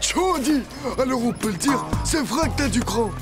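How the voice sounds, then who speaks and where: A woman's voice: sits high, lively intonation, moderate pace. A woman voicing an eager, chatty, bossy schoolboy in a French cartoon, full of enthusiasm. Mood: amazed. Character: eager, chatty schoolboy, self-appointed manager and food lover. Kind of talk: French animated cartoon acting. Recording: music behind the voice.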